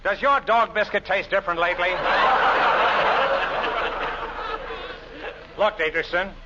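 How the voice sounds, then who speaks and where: A man's voice: high voice, quick pace, close to an everyday voice. An actor playing a brash, glib insurance salesman and comic schemer in 1940s radio comedy style. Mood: pushy. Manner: glib, wisecracking patter. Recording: noisy, muffled old radio recording.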